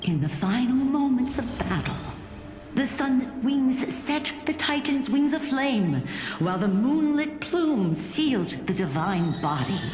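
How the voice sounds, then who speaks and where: A solemn, slow-spoken old grandmother storyteller. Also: high-pitched, sing-song, darkish tone. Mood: grave, epic. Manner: measured, dramatic, weighty phrasing. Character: aged grandmother, solemn, tender keeper of old legends. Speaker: voice actor in a fantasy game. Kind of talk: dramatic fantasy game storytelling. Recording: reverberant studio voice under music.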